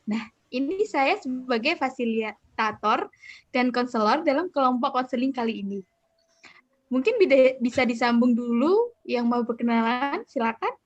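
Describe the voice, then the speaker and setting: A woman playing a calm 35-year-old counselor who leads a group session on a video call and reassures the members. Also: a little high, normal speed, no echo. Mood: steady. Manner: gentle, reassuring, with polite fillers. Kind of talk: student counseling roleplay. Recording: dull, dry video-call audio.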